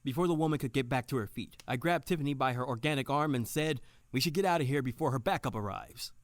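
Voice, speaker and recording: A woman playing a soft-boiled detective, narrating the case in measured, descriptive first person for an audio drama. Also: extremely low pitch, no echo. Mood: tense storytelling.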